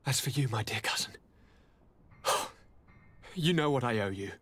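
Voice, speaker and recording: A man acting a sickly young prince in a video game cutscene, speaking formally and theatrically with weary sighs and a husky voice. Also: mid-range voice, expressive, measured pace, a bit bright. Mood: weary gratitude.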